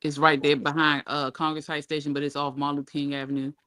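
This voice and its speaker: A man talking casually in a matter-of-fact, slightly halting way, as if giving directions. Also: on the high side, flat delivery, sharp tone, smooth, no room sound, clean studio sound. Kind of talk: casual informative chat.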